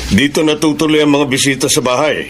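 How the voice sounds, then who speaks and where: An actor playing a middle-aged, wealthy and domineering father in a Filipino radio drama, gruff but jovial. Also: fairly high pitch, steady tone, fast talker, lightly acted. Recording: noisy radio drama with ambience.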